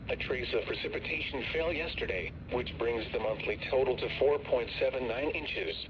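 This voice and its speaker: A muffled radio broadcast with clear noise carries a man's mid-pitched voice. He announces weather in a formal, impersonal way. The delivery is scripted and synthetic, stilted and broken into short phrases.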